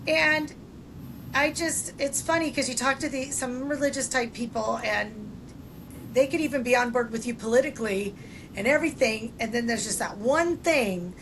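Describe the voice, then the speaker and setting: High-voiced older woman over rumbling noise. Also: normal speed, a bit bright, rough recording. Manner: blunt, salty, informal. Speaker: podcast co-host. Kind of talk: casual chat. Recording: clear background noise, low rumble.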